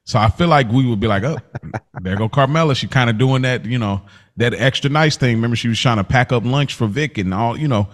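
A man with a medium-pitched voice talks as a podcast co-host. His delivery is loose and conversational, full of casual slang. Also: a little fast. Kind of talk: casual TV recap chat.

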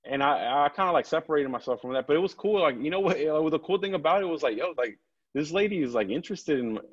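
A man's voice: a little high, expressive, breathy edge. Male podcast guest, slight lisp, loose slangy rambling, lo-fi video call sound. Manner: halting, rambling, peppered with slang and swearing. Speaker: podcast guest, comedian and film director. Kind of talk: casual chat, slangy storytelling. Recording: dull, lo-fi video call audio.

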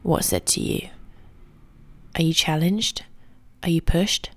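A woman hosting a solo podcast, talking calmly and quietly, often close to a whisper, recorded at home early in the morning. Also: low-pitched, slow, no echo. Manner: soft, calm and quiet, often near a whisper. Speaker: podcast host and ballet teacher. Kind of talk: solo podcast advice talk.

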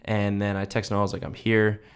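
A man's voice: lowish voice, a little fast, smooth. A young man co-hosting a podcast, telling stories in a casual, slangy way full of "dude" and "like". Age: young adult. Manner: slangy, animated retelling with heavy "dude" and "like". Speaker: podcast co-host. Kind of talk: casual chat, storytelling.

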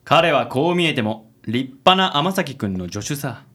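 An actor playing a suave, affected, good-natured company president in a radio drama. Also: male voice, mid-range voice, sing-song, unhurried. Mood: suave, assured. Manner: suave, theatrical, gentlemanly.

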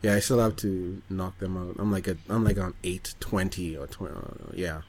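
A man's voice: sits low, normal speed, darkish tone, slightly rough. A man co-hosting a podcast, chatting casually in a hesitant, wry way. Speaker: podcast co-host. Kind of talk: casual chat.

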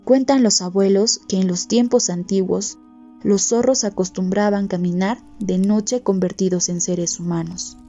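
Mellow woman storyteller, muffled, over music. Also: medium pitch, fairly even intonation, unhurried. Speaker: storyteller reading a folk tale. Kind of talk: scripted storytelling narration. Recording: muffled audio with music underneath.

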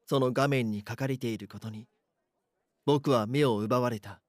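A man's voice: medium pitch, normal speed, darkish tone, no room sound, clean studio sound, natural voice. A man playing an anxious schoolboy who narrates an eerie mystery in an audio drama. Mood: alarmed.

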